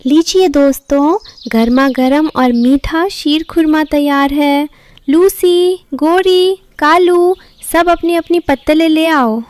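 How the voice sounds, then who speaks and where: Gentle cartoon bird wife, encouraging, high register, unhurried pace female performer. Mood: cheerful. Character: kind, hopeful mother bird, devoted wife. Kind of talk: Hindi cartoon story dialogue.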